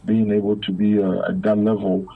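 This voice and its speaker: A man from Belgium speaks as an interview guest, his low, gravelly voice heard through a tinny phone line. He stumbles and repeats words often, circling back before finishing each thought. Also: little pitch movement. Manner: halting, many repeated words and fillers. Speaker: guest, former pro football player. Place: Africa.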